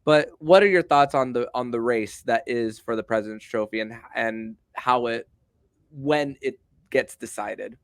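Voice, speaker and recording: A male podcast host talks sports in a mid-range voice with a very dry, close sound. His questions come out conversationally, halting and wandering into asides before they land. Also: mid-range voice, no room sound.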